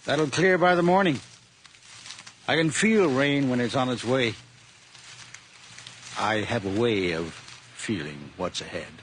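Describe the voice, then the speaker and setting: An actor playing a kindly, lonely old widowed farmer in a radio drama, folksy, plain-spoken and a little hesitant. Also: male voice, medium pitch, lively intonation, a little slow, pauses often, a bit bright. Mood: plain, musing. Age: older. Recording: radio drama with faint noise, farm ambience.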